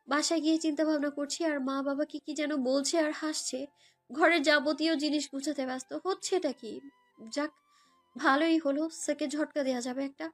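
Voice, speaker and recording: A young woman narrates a romantic story in the first person, in an emotive, girlish way, as if living it. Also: high voice. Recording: background music under voice.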